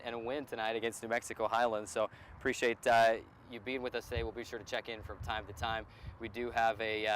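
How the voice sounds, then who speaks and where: A man's voice: medium pitch, a bit bright, a little hiss or hum, low-quality recording. A man hosting a sports show and conducting a conversational interview as a play-by-play announcer.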